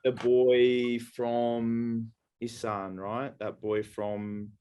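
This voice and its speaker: Man, podcast co-host, rambling, halting, lots of ums. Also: mid-range voice, completely flat delivery, warm tone, clear, resonant tone. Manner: rambling, halting storyteller with many fillers. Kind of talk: casual chat.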